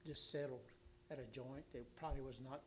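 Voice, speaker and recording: Hoarse man giving a rambling report. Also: medium pitch, fairly even intonation, darkish tone, slight background noise. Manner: rambling, halting, many restarts and fillers. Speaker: city official giving a utility report. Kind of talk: council meeting report.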